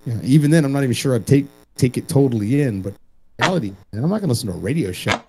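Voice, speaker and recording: Joking, profane man with a mellow voice. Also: mid-range voice, rapid-fire. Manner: animated, profane, streetwise ribbing. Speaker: co-host. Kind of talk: casual, joking commentary.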